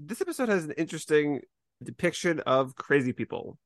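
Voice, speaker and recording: A podcast co-host chatting casually about a TV episode, retelling things in an animated, conversational way. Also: medium pitch, a little fast, no echo, clean studio sound.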